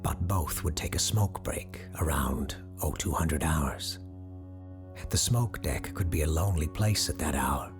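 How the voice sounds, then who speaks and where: A man playing a grave doctor who hosts an occult radio show, telling stories in a measured, theatrical, formal way with a gravelly, breathy voice. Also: rumbling low, over music. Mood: brooding, suspenseful. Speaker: radio host. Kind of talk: dramatic scripted storytelling in a radio drama.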